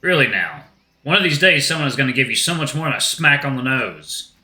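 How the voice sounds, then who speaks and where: A man's voice: mid-range voice, big pitch swings, fairly quick, bright, forceful tone, reverberant. A man voicing a cocky, sarcastic, irritable male animal character in a story, blunt in manner. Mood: scolding. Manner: blunt, sardonic.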